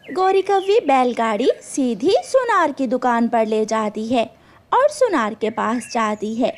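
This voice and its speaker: A woman's voice: a little high, big pitch swings, measured pace. A woman narrating a scripted children's cartoon story.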